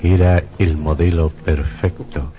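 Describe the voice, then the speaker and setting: A man's voice: sits low, fairly even intonation, slow pace, muffled recording. A man narrating a horror radio play in a suspenseful, sinister, theatrical way. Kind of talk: dramatic scripted horror narration. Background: music.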